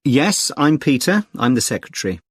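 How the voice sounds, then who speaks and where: Helpful British club secretary, dry sound. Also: male voice, medium pitch, big pitch swings, slightly rough, no echo. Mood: helpful, informative. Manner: polite, explanatory, measured. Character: friendly, helpful male secretary of a conservation group. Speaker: actor in a listening test dialogue. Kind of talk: scripted everyday conversation, clearly enunciated.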